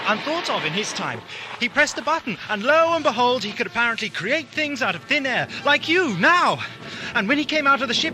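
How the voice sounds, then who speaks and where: A man's voice: very high voice, background noise. A man playing a compassionate, wry time-travelling alien adventurer in an audio drama, reasoning earnestly. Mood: earnest storytelling. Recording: music behind, 1980s amateur audio drama.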